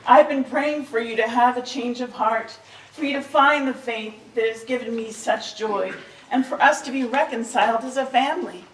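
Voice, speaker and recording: A woman playing a kindly, devout grandmother in a theatrical stage reading, earnest and gentle. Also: on the high side, moderate pace, close to an everyday voice. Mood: hopeful. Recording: echoey hall, distant mic.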